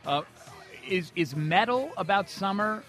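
A man's voice: high voice, lively intonation, measured pace, fairly bright tone. An American man hosting a radio music interview in a playful, informal, conversational way.

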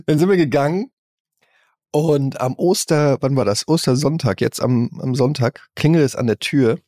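A man with a husky voice, telling anecdotes in casual chat as a podcast co-host. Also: mid-range voice, moderate pace, warm tone, dry recording, clean studio sound.

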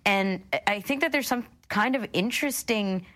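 A woman's voice: medium pitch, moderate pace, fairly bright tone. A woman co-hosting a casual radio discussion in a conversational, reflective way. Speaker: talk show co-host.

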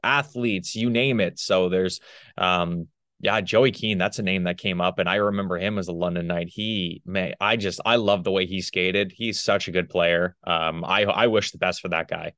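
A man's voice: low voice, fairly even intonation, moderate pace, fairly bright tone, dry recording, studio quality. A man co-hosting a sports podcast in an informal, conversational way. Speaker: podcast co-host and arena in-game announcer. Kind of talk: casual sports chat.

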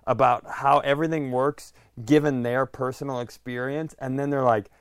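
A man with a smooth, clean voice at a middling-low pitch, recorded close and dry. He talks as a podcast host, rambling casually and poking fun at himself. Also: flat delivery, normal speed, dry recording. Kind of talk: casual comedic conversation.

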